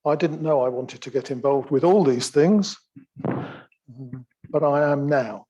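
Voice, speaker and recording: A soft-spoken man giving an informal talk at a community meeting, unhurried and gently wry. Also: medium pitch, husky, muffled recording. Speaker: assembly action group member speaking at a community meeting. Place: theatre function room, live event.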